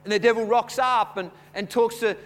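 A man preaching a sermon in a conversational, storytelling way, repeating words as he goes and building from quiet chat to louder preaching. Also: very high voice, steady tone, moderate pace. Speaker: pastor.

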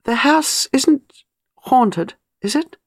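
An eager young wife with an imaginative, impulsive way of musing , played by a woman audiobook reader. Her pitch swoops freely. The recording is dry and intimate. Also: animated, extremely slow, no echo, exaggerated voice. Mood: anxious.

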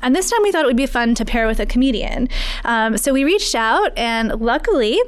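A woman with a fairly high, very clean and smooth voice speaks as a podcast guest. She explains her team's work in a conversational spokesperson's style. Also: lively intonation, a little fast. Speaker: press secretary, podcast guest. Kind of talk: explanatory interview answers.

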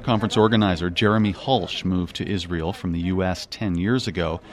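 A man narrating a radio news report in a measured, scripted delivery. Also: deep voice, steady tone.

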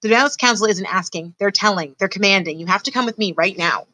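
A woman's voice: mid-range voice, fairly quick, pushed and bright, no room sound, close to an everyday voice, low-quality recording. A woman voicing a brash, impatient young male mouse who talks in an exasperated, blunt way.

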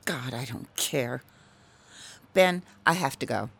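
A woman's voice: rumbling low, lively intonation, slow, vocal fry, a bit bright, slightly rough, dry recording, full character voice. A woman acting a resentful, lonely middle-aged wife and mother in a radio drama. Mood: resentful, sarcastic.

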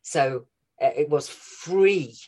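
A woman's voice: low voice, slow pace, darkish tone. North welsh lady with a scousey accent, chatty and bubbly, laughs a lot. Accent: North Wales, Scouse-tinged. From North Wales. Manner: chatty, laughs often, enthusiastic. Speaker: guest actress. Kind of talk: casual interview chat.

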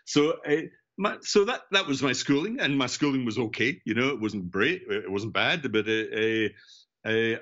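A man's voice: medium pitch, fairly bright tone, highs cut off. An older man with a Scottish accent, talking informally and discursively as an interview guest. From central Scotland. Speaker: podcast guest, education technology executive. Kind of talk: conversational interview answers, recounting career.

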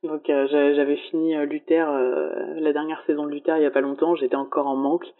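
Woman, lowish voice, relaxed wry chat, phone-like tinny sound. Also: brisk. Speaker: podcast co-host. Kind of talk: casual conversation.

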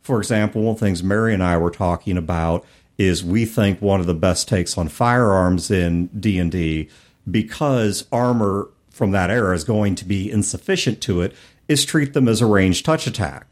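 A man's voice: low-pitched, moderate pace, a little mellow. A man co-hosting a casual gaming discussion, explaining things with rhetorical questions and asides. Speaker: podcast co-host.

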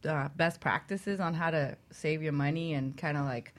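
Female co-host, low-pitched voice, informal conversational delivery for a talk radio show. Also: normal speed. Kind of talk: casual banter and show introduction.

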